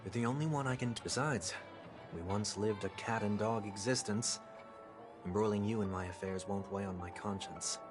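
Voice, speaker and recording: Game lead: male actor as a measured, courteous man on the run, low register, quietly burdened, music behind. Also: close to an everyday voice. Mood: matter-of-fact. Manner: formal, measured, restrained. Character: composed, guilt-burdened gentlemanly male fugitive. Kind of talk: video game dialogue acting. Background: clear background music.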